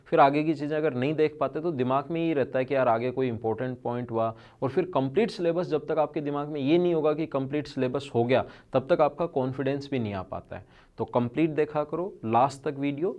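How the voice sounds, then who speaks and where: A man's voice: medium pitch, lo-fi sound. Man teaching maths, strained throat. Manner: earnest, repetitive, addresses students directly. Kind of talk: online video lesson.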